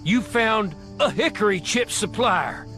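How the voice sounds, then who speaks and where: A man playing a folksy, gung-ho American agent in a video game, with a gravelly voice and an American accent. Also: sits high, lively intonation, unhurried, pushed and bright, exaggerated voice. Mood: wry, easygoing. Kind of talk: video game cutscene acting. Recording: music behind the voice.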